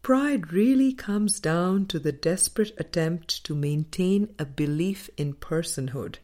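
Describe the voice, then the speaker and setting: A woman narrates a spiritual audiobook in a low-pitched voice. Her reading is very unhurried and deliberate, broken into short, measured phrases with frequent pauses. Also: darkish tone. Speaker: audiobook reader.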